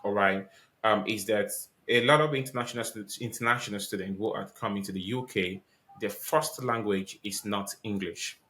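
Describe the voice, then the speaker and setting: A man's voice: lowish voice, moderate pace, bright, forceful tone. A man hosting a podcast interview, asking questions politely with many ums and restarts. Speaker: podcast host.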